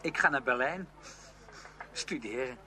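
An actor playing a headstrong, outspoken young music student in a Dutch radio play, speaking emphatically and with defiance. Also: male voice, medium pitch, animated, a little slow, sharp tone. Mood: brisk, bitter. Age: young adult. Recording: noisy old radio drama recording.

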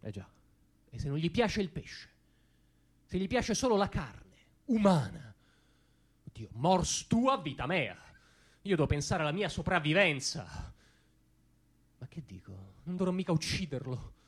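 Stage monologue: actor as anxious, conflicted male dreamer, high voice, unhurried halting delivery full of nervous repetition. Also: sing-song, breathy edge, full character voice. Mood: anxious wavering. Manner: agitated, halting, repeats himself, swings from muttering to cries. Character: anxious, conflicted dreamer, a man torn between conscience and panic. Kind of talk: dramatic comic theatre monologue.

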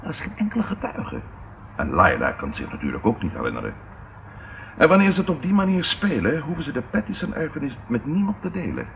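An actor playing a shrewd policeman in a radio play, deliberate and matter-of-fact in manner. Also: on the high side, wildly swooping pitch, creaky, heavily acted. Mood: reasoning. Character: measured, shrewd policeman colleague reporting grim findings. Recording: muffled, noisy old radio recording.

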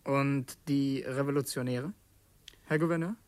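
A man plays a young official's aide in a radio drama. His voice sits at a middling pitch, formal and restrained, with a quiet challenge under the courtesy. The recording is close and dry. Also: steady tone, dry recording, close to an everyday voice. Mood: quietly challenging. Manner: formal, measured. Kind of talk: German radio drama acting.

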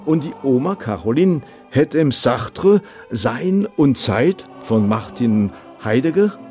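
An actor playing an older count, modest and amused, who reminisces in a colloquial, halting way. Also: male voice, medium pitch, sing-song, dark tone, faint music behind. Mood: fond reminiscing.

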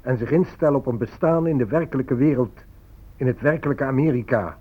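A man's voice: medium pitch, brisk, slightly rough, close to an everyday voice. Man acting a smug official, muffled old recording. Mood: patronizing. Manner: condescending, official. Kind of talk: Dutch radio play acting. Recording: dull, lo-fi radio recording.

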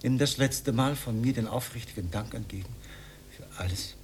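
A man's voice: mid-range voice, moderate pace, close to an everyday voice. An actor playing an earnest, pedantic, lonely old man in a radio drama, speaking formally. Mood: solemn, grave. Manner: solemn, formal, wordy. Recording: faint background noise, old radio recording.

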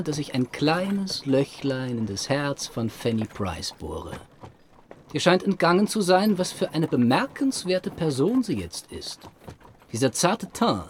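Conceited young gentleman with animated delivery. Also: fairly high pitch, slight background noise. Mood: smug, playful. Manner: self-assured, theatrical, playful phrasing. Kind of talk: period literary radio drama.

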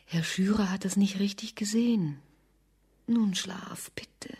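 An actress playing an anxious mother in a radio drama, speaking in a hushed whisper. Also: medium pitch, measured pace, breathy edge. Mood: soothing, tired. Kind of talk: German radio drama acting.

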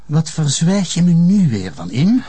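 An actor with a Flemish accent playing a stern, suspicious public prosecutor in a TV police drama, curt and probing. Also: male voice, on the high side, big pitch swings, a little slow, dark tone, breathy edge, dry recording, heavily acted. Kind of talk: naturalistic TV police drama acting.

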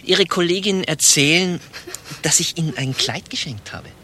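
An actor playing a pompous, condescending administration chief in a satirical radio play, biting and exasperated. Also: male voice, fairly high pitch, moderate pace, bright, forceful tone, breathy edge. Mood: pompous, mocking.

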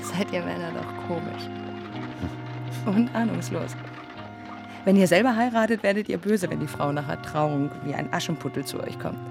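An actress playing a practical, proud young bride-to-be in a radio drama, chatty and matter-of-fact. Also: female voice, lowish voice, normal speed, creaky, music in the background. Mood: chatty, self-important. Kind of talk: German radio drama acting.

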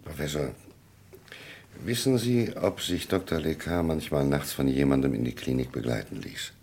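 A man acting a probing, deliberate police investigator in a German radio crime drama, with a gravelly, husky voice and pointed questions. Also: extremely low pitch, a little mellow, slight background noise. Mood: inquiring. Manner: questioning, hesitant pauses, then pointed.

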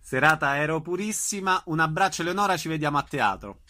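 Bright-toned male Italian interviewer. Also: a little high, fast. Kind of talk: informal interview.

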